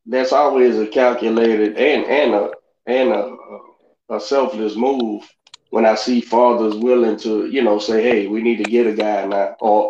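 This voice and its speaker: A man co-hosting a podcast, asking rambling questions with many restarts and pauses. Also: on the low side, a little mellow. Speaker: podcast co-host. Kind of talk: casual interview questions. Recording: roomy, dull, slight hiss.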